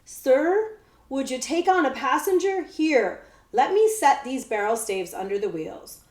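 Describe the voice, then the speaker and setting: A woman voicing a blunt, street-wise poor boy with rough old-fashioned speech in a children's story. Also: high voice, animated, measured pace, a little mellow, echoey room, voice pushed up. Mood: calling out. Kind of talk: children's book read-aloud.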